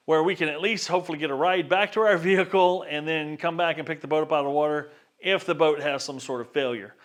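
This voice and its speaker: A man's voice: fairly high pitch, fairly bright tone. A man casually talking viewers through his boat gear setup in an upbeat, chatty way. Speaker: vlogger. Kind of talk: casual how-to vlog. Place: backyard workshop and boat.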